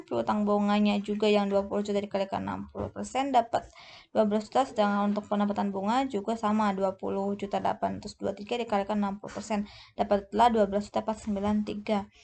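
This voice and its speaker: A woman teaching a lesson as a tutor, explaining step by step in a halting way and often correcting herself. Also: medium pitch, creaky, a little mellow. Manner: halting, often searches for words and self-corrects. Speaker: accounting tutor. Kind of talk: explanatory lesson walking through tables.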